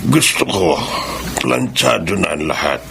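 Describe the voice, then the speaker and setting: Give the part of an ailing old tycoon in a radio drama. His voice is very low and gravelly, with animated swings of pitch. He is commanding and deliberate, and slips into English. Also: heavily acted. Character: ailing old male tycoon searching for a lost child. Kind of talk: Filipino radio drama acting. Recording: faint background noise.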